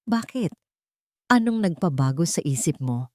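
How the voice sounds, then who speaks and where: A woman's voice: low-pitched, big pitch swings, normal speed, mellow, no echo, studio quality. A woman voicing a wary, suspicious man in a story, terse and cold in his questioning.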